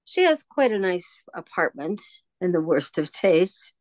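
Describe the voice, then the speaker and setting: A woman's voice: fairly low pitch, sing-song, a little slow, a little mellow, dry recording. Woman as a genial older shopkeeper, dry sound. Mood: genial, wistful. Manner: chatty, nostalgic. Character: genial, wistful older woman keeping a junk shop. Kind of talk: audio drama acting.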